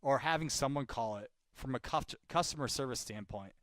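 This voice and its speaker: A man's voice: mid-range voice, brisk, fairly bright tone, dry recording, clean studio sound. A man working as a sales caller and trainer, talking informally and chattily and repeating his points for emphasis during live calls. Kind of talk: live cold-call demonstration with coaching talk.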